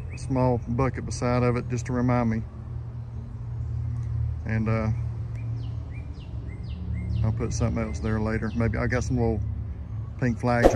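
A man with a relaxed Southern US accent talks his way through backyard chores in an easygoing, folksy manner. His voice sits at an ordinary middle pitch, with steady outdoor background noise running under the recording. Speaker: homesteading vlogger. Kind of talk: casual narration of backyard chores.

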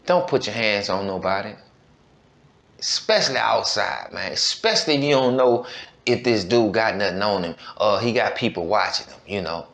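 A man's voice: lowish voice, animated, normal speed, vocal fry, fairly bright tone. A man hosting a reaction show, speaking in casual slangy commentary with repeated, admonishing phrases. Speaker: reaction podcast host.

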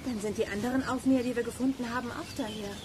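A woman's voice: a little high, steady tone, racing, darkish tone, slight background noise, close to an everyday voice. A woman playing a clever, decisive girl detective in a children's audio drama. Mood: deducing.

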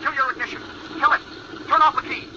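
An actor playing an urgent, commanding police inspector in a 1950s radio drama. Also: male voice, extremely high pitch, steady tone, fast talker, sharp tone, heavily acted. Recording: noisy, muffled old radio recording.

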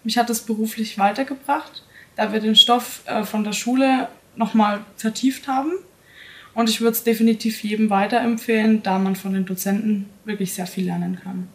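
A woman of twenty-one, an apprentice at a music store, giving a friendly, brisk testimonial. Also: medium pitch, steady tone, darkish tone. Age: 20s. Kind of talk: testimonial to camera.

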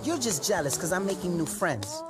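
A woman's voice: fairly low pitch, sing-song, moderate pace, over loud music. A woman voicing a cheery young mummy boy who tells jokes. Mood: playful. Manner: playful, joking.

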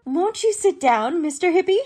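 A woman's voice: very high voice, lively intonation, moderate pace, over loud music. A woman playing a stern, formal dean of women in an old-time style radio comedy. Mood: polite, startled. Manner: prim, formal.

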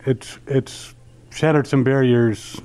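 Male interviewer, middle-aged, dark relaxed tone, medium pitch, conversational. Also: slow, smooth. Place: indoor wood-panelled lounge, seated on a sofa. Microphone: handheld interview mic, speaking through a face mask.

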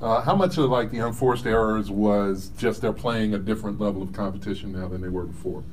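A man with a fairly low voice asks a question in a hesitant, halting way. He is picked up faintly from across the room, with light noise in the background. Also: darkish tone, distant mic. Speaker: sports reporter. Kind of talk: press conference question.

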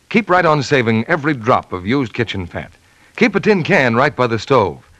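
A man's voice: mid-range voice, fairly bright tone, dry recording. A man with an American accent announcing and reading scripted sponsor messages in 1940s radio style. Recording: 1940s radio broadcast recording.

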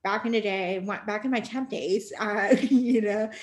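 A woman's voice: medium pitch, unhurried. A woman hosting a podcast in a casual, chatty interview style, gushing and effusive. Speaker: podcast host.

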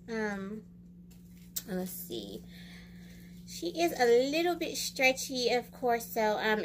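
A young American woman chatting casually and expressively to camera in a product review, with drawn-out exclamations. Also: medium pitch, slight background noise. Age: young adult. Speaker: beauty vlogger and wig reviewer. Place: small room indoors.

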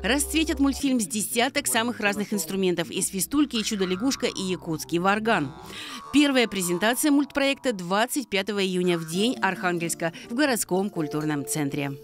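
A woman's voice: medium pitch, lively intonation, brisk, soft background music. Woman reporter narrating a news story.

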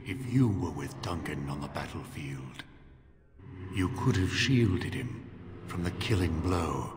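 An actor voicing an ancient, solemn armoured guardian of a holy relic in a fantasy video game, grave, formal and deliberate. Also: male voice, rumbling low, expressive, full character voice. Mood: grave, probing. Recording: echoing hall reverb with ambient noise.

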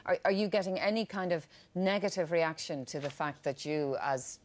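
A woman's voice: fairly low pitch, moderate pace. A middle-aged woman with an Irish accent, interviewing guests as a TV presenter. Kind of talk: live panel interviewing. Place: TV news studio, indoors.

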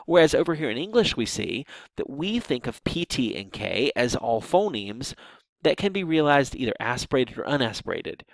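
A man's voice: mid-range voice, big pitch swings, normal speed, gravelly, dry recording, poor audio. A man teaching a linguistics course in a recorded lecture over slides, explaining patiently with frequent restarts and fillers. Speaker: linguistics instructor.

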